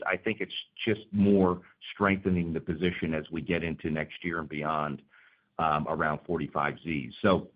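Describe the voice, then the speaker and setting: Low-voiced male finance executive on phone. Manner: methodical, point-by-point explaining. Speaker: company finance executive on an earnings call. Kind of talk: unscripted investor Q&A answers. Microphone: conference phone call. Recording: narrow tinny phone line.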